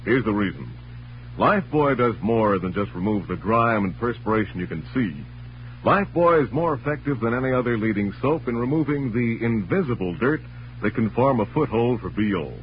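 American man, old time radio announcer, polished and emphatic, mid pitch, muffled recording. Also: animated, brisk, slight background noise. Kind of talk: 1940s scripted radio narration and sponsor pitch.

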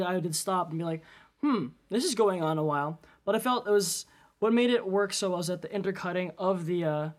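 A man on a podcast panel talking casually and conversationally about film. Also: high voice.

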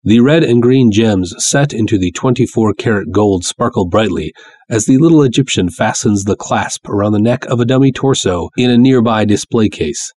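A man's voice: lowish voice, moderate pace, a little mellow. A man giving a dramatic first-person narration of a story, as its narrator.

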